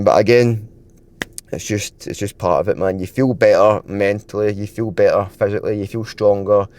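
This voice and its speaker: A young man in his twenties talking casually to camera in a fitness vlog, rambling with lots of filler words, his throat a bit dry and croaky. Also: lowish voice, a little mellow. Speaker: fitness vlogger.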